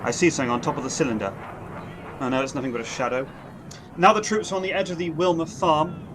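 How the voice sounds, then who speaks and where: Guy playing an army captain calling it in from the field, kinda high voice, street and engine noise behind him. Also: quick pace, close to an everyday voice. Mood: alarmed. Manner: assured, then rising to alarm as he reports from the front. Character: brisk confident army signal captain reporting from the field. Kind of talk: 1930s-style radio drama acting.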